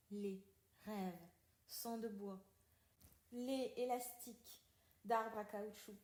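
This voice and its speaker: High-voiced woman reading poetry, echoey room. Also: dark tone. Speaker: podcast reader. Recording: roomy, echoing sound.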